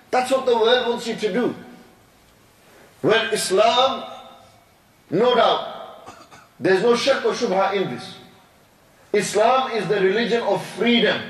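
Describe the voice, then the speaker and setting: A man's voice: sits high, distant mic. A man, an imam giving a sermon, preaching with repetition and rhetorical questions. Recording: echoey room from afar.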